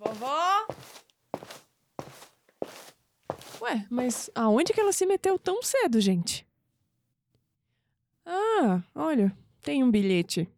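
An actress with a Brazilian accent playing a wistful, anguished young skeptic in an audio drama, sighing and pausing dramatically. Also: female voice, high-pitched, very animated, slight background noise, heavily acted. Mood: puzzled. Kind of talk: audio drama acting, inner monologue. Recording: long reverb, music underneath.

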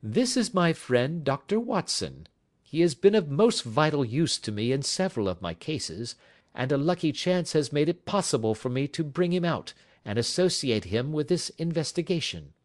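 Man reading as a cool victorian detective, measured and precise, lilting up and down. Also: fairly high pitch, sing-song, voice pushed up. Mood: courteous. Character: calm, reserved gentleman detective, middle-aged.

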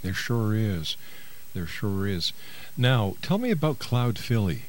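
Animated, encouraging male radio host. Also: on the low side, slow, slight background noise, poor audio. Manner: encouraging, avuncular. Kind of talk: conversational interviewing.

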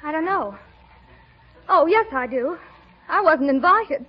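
A woman's voice: sits high, lively intonation, takes it slowly. An actress playing an earnest, lovestruck but proud young woman in a 1940s radio comedy. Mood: hurt. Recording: muffled old radio broadcast.

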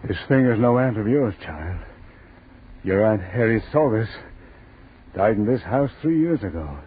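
A man's voice: lowish voice, lively intonation, a little slow, breathy edge, exaggerated voice. Grave, ominous man, muffled old radio. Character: grave man revealing a dreadful truth. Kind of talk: 1940s radio horror acting. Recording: muffled, noisy 1940s radio recording.

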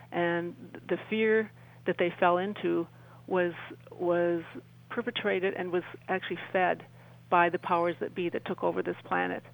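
A woman's voice: on the low side, gravelly, rough recording. A woman speaking earnestly and emphatically as a guest in a radio interview, often repeating phrases.

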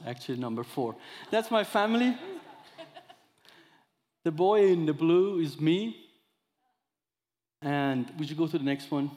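A man in his thirties from Iran, speaking English with an Iranian accent, giving an expressive sermon that mixes scripture with his own testimony. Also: fairly high pitch, in a large hall. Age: 30s. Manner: expressive storyteller, acts out dialogue, audience laughter. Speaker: guest speaker.

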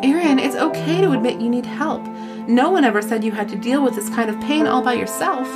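Woman acting a high, earnest, pleading teenage girl. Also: big pitch swings, moderate pace, loud music behind the voice. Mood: earnest reassurance. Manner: pleading, gentle but firm. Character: earnest, tender teenage girlfriend pleading with her troubled boyfriend. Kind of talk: dramatic reading of a teen novel.

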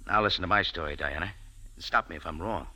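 An actor playing a tough, wisecracking cafe owner who narrates in a clipped, hard-boiled style, in 1940s radio acting. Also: male voice, low-pitched, fast, sharp tone, no room sound, full character voice. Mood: dry. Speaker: lead and narrator.

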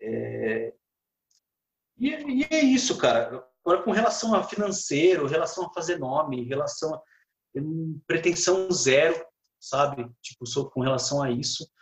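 A man with a Brazilian accent, a guitarist chatting as a podcast guest in a relaxed, halting way full of fillers and restarts. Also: on the high side. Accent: Brazilian Portuguese. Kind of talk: casual podcast chat. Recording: roomy echo.

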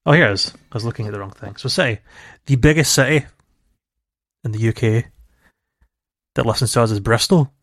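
A man's voice: medium pitch, a little fast, pauses often, fairly bright tone, no echo, studio quality. A man co-hosting a casual gaming podcast, chatty and rambling, laughing easily. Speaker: podcast co-host. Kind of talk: casual chat.

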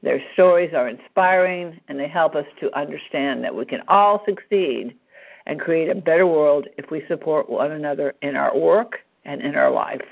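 A woman's voice: sits low, expressive, moderate pace, creaky. A woman hosting an interview podcast, introducing her guest and asking questions in an encouraging way. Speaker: podcast host. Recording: dull, lo-fi podcast audio.

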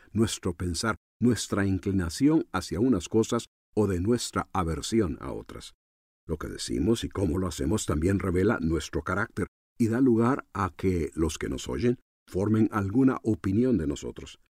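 Husky, deep-voiced male preacher. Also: a little mellow, no room sound, clean studio sound. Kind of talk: radio sermon in Spanish, measured teaching.

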